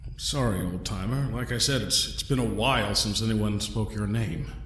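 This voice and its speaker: A man's voice: fairly low pitch, fairly even intonation, normal speed, a bit bright, reverberant, lightly acted. A man playing a trapped adventurer in an audio drama, folksy, polite and wry, with an understated, hesitant manner. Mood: wry, apologetic.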